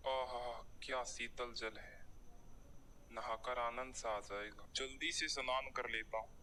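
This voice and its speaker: A man's voice: mid-range voice, steady tone, a little slow, background noise, close to an everyday voice. A man voicing an idle, testy village holy man who lives on alms, in a cartoon story. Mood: pleased. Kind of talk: Hindi cartoon character acting.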